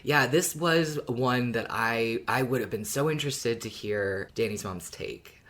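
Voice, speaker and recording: A woman's voice: very deep voice, measured pace. A woman co-hosting a fan podcast in a casual, conversational style. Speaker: podcast co-host.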